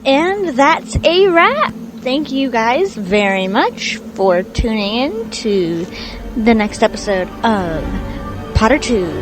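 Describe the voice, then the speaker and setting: Lively high-voiced woman signing off over music. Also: takes it slowly, poor audio. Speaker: host. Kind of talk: show sign-off.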